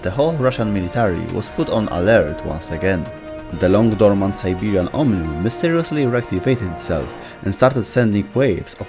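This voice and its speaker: A man with a fairly low voice narrates a scripted explainer. His intonation moves around in a lively way, and music plays faintly behind him. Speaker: narrator of a game lore explainer video.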